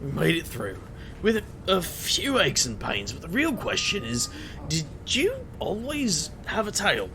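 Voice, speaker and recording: An actor playing a scatterbrained, playful, good-natured boss in a fantasy audio drama, stammering and jokey, with a gravelly edge. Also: medium pitch, very animated, measured pace. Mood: relieved, teasing. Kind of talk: audio drama character acting. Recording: faint noise.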